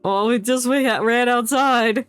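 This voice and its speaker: A woman's voice: on the high side, measured pace, clear, resonant tone, no echo, faint music behind. Playful woman streamer reacting to a game. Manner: playful, joking, reacting aloud to the story. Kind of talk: casual live game commentary.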